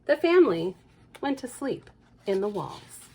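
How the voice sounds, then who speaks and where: A woman, a children's librarian, reading a picture book aloud at storytime, expressive and breaking off for chatty asides to the children. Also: medium pitch, measured pace, a little hiss or hum.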